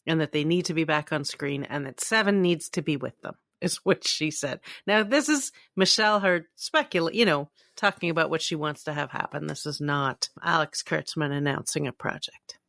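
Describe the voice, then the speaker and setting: A woman's voice: low voice, a bit bright, no echo, studio quality. A woman from Toronto, Canada, co-hosting a podcast and chatting casually about entertainment news. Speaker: podcast co-host.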